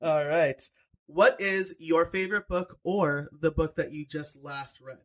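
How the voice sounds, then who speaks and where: A man from New York hosting a podcast, asking casual questions and answering with quick, upbeat affirmations. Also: on the high side, a bit bright. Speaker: podcast host.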